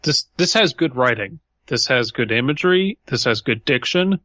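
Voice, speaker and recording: Bright-voiced, animated man, dry sound. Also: medium pitch, normal speed, no room sound. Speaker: podcast co-host. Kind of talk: casual commentary and story reading.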